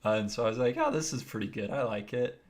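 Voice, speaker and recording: A man with a naturally deep voice, explaining his video editing steps one by one in a casual, rambling livestream. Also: fast talker. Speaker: streamer.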